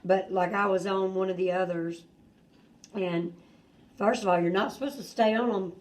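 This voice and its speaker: A hoarse woman student joining a class discussion in a chatty way, full of asides. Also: medium pitch, fairly even intonation, moderate pace, a little mellow.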